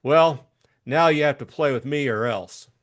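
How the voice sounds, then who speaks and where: A man voicing a tough, cynical private detective in a crime audiobook, blunt, slangy and needling, with crisp delivery. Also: medium pitch, a little slow, voice pushed up, natural voice. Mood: hard, needling.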